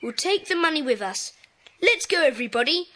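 Brisk british schoolboy club leader, high and really animated, kids audio play. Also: female voice, very noisy. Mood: earnest, eager. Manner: brisk, organising, enthusiastic. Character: eager, bossy schoolboy leading a children's club. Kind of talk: children's audiobook dramatization.

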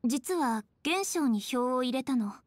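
Poised, high-voiced young lady. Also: animated. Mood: troubled. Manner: measured, formal. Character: poised, serious young noblewoman, dutiful and composed. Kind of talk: Japanese anime game voice acting.

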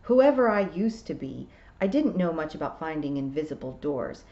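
A woman's voice: deep voice, big pitch swings, moderate pace, warm tone, echoey room. A grumpy talking toad from a storybook, put on by a woman. He is formal, dry and resentful, stressing his complaints with varied intonation. The recording is slightly dull. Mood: grumpy, unhelpful.

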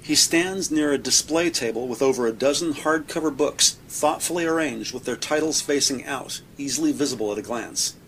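A man with a creaky, mid-pitched voice narrates a drama scene in scripted present tense. There is clear background noise behind him. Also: moderate pace, few pauses, fairly bright tone. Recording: noisy, sound effects behind voice.

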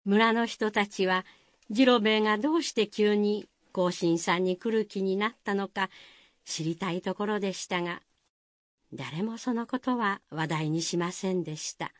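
A woman's voice: medium pitch, moderate pace, dry recording. A woman reading scripted folktale narration for a radio drama. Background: music.

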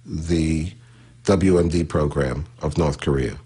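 A man recalling events haltingly in an interview. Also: extremely low pitch, dark tone, breathy edge. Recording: noisy interview original under voice-over.

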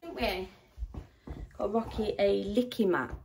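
A young woman chatting casually through a grocery haul in a rambling way, her voice low and a little rough from a dry throat. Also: measured pace, darkish tone, a little hiss or hum. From United Kingdom. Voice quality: low, gravelly voice. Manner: chatty and rambling, with many ums and likes. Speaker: vlogger. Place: at home.

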